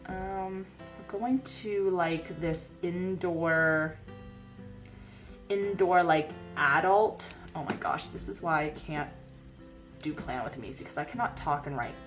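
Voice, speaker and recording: A woman in her thirties with a somewhat low voice, sniffly from a cold. She rambles in chatty, filler-heavy talk while planning at a desk, her voice fading now and then as she turns from the camera mic. Faint music plays underneath. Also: slow pace. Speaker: planner vlogger. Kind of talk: casual chat while planning. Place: home desk by a bright window.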